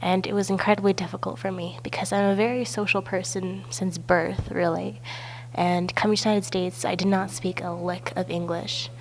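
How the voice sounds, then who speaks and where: A young woman from Belarus, a native Russian speaker, with a raspy, stuffy voice, narrating her personal story for a class project. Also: medium pitch, level tone, moderate pace, a little hiss or hum, low-quality recording. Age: young adult. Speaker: student. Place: outdoors at a balloon festival.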